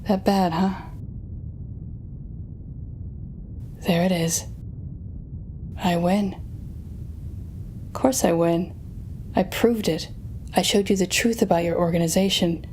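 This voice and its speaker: Low-voiced, taunting villainess, acted by a woman. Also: fairly even intonation, darkish tone, slight background noise. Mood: grim, needling, then smug. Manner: taunting, theatrical, drawn-out teasing phrasing. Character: sardonic female telepathic supervillain, defeated but smug. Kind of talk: intimate audio roleplay drama, speaking to listener.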